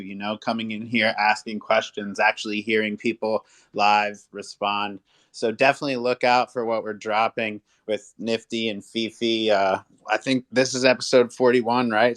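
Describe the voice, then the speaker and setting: A man's voice: fairly low pitch, a bit bright. A man co-hosting a live online audio chat, talking casually and promotionally with frequent checking tags. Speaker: co-host.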